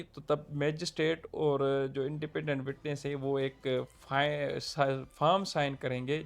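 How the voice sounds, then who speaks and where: A man with a Pakistani Urdu accent explaining legal procedure point by point, pausing with fillers. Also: medium pitch, creaky. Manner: steady, numbered points, frequent fillers. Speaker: law lecturer. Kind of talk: explanatory lecture.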